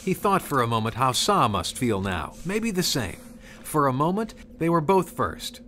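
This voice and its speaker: A man's voice: medium pitch, sing-song, moderate pace, bright, forceful tone, a little hiss or hum. A man reading scripted storybook narration of a Christmas tale. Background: music.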